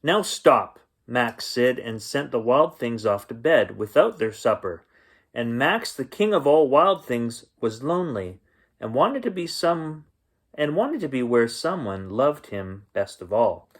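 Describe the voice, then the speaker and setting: Male children's storyteller, leisurely delivery, lively intonation, chatty gentle asides between lines of the book. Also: medium pitch. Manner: unhurried, expressive, with gentle asides to listeners. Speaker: bedtime story reader and host. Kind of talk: children's picture-book read-aloud.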